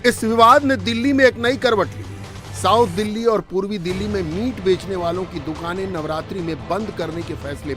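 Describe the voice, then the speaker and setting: A man reading a scripted television news report as its narrator. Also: high voice.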